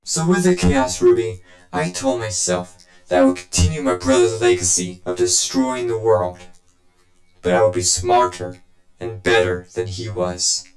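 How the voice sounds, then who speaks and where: A cold, smug video game villain, played by a man, delivers a deliberate monologue. His low voice swings widely in pitch with condescending menace, echoing over synth music. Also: big pitch swings. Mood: brooding, scornful. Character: scheming, vengeful villain brother, smug and cold.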